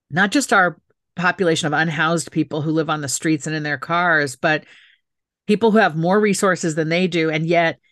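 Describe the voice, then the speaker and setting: Middle-aged woman with a real low voice, earnest and emphatic, dry close sound. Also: fairly bright tone, dry recording. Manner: emphatic and heartfelt, repeats phrases for stress. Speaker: guest, author and city council member. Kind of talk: earnest interview talk.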